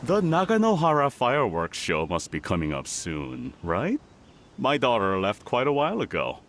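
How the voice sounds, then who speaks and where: A man's voice: mid-range voice, wildly swooping pitch, moderate pace, a bit bright, a little hiss or hum. An actor playing a friendly middle-aged village father in a video game, amiable and conversational. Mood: easygoing.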